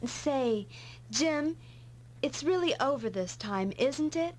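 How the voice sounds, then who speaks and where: A woman playing a gentle, wistful young companion, tentative and seeking reassurance, in an anime-style game dub. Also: high-pitched, lively intonation, takes it slowly, slight background noise.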